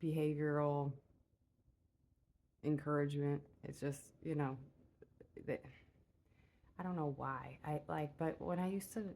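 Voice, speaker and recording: A woman with a low, soft voice talks her way through a tarot reading in a relaxed, unhurried way. She meanders and fills her pauses with little hesitations. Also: gravelly. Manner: rambling and meandering, many filler "uh"s. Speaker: tarot reader. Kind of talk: casual spoken tarot reading.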